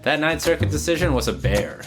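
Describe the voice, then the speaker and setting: A man's voice: fairly high pitch, lively intonation, brisk, loud music behind the voice. Man with a cold hosting a podcast, slightly nasal. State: has a cold. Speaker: podcast host; lawyer. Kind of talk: scripted legal news podcast narration with casual asides.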